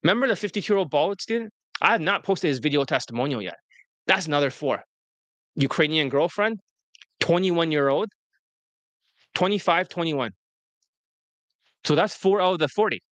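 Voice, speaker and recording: A man's voice: expressive, moderate pace, pauses often, fairly bright tone, dry recording. A man hosting as a dating coach, talking bluntly and profanely, mocking his students with whiny put-on voices. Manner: brash, profane, mocks students in high-pitched quoted whines. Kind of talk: blunt promotional coaching talk.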